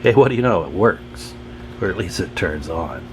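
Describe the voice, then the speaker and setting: A man's voice: low-pitched, lively intonation, normal speed, slight background noise. A man hosting a radio repair video, giving casual, folksy commentary to viewers as he tinkers at his workbench. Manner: informal, folksy, talking to viewers while tinkering. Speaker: host of a radio repair channel. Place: at a workbench, indoors.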